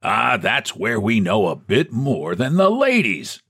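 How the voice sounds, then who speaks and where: A man's voice: on the low side, huge pitch swings, measured pace, a bit bright, husky, voice pushed up, heavily acted. A man voicing a stout, hearty middle-aged businessman with a jovial, boastful manner.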